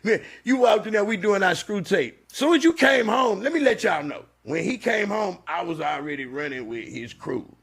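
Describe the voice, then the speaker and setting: A man hosting a podcast, chatting casually and telling stories with lively, excited energy. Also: high voice, moderate pace, vocal fry, hardly any pauses, husky. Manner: animated, storytelling, hyped when excited. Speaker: podcast host.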